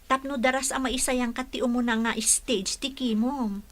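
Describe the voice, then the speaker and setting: A woman acting as a dutiful young caregiver in an Ilocano radio drama, polite and gently advising. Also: fairly high pitch, steady tone, normal speed, lightly acted. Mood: concerned.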